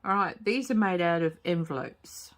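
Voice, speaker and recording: A woman with a slightly croaky voice, hosting a casual craft tutorial at home and talking hesitantly with many fillers. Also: lowish voice, expressive, normal speed. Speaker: crafting channel host. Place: home craft table, late at night.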